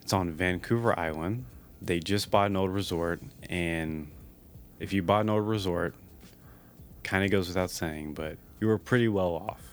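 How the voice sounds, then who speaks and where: Deep-voiced upbeat male podcaster. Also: moderate pace, slight background noise. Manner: upbeat and chatty, laughs often. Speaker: podcast co-host. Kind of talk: casual podcast chat.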